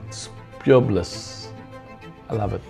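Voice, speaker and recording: A man of fifty-eight giving a testimonial in an earnest, plain-spoken way, halting and repeating words. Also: fairly low pitch, creaky, dark tone, faint music behind. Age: 50s. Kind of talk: testimonial interview.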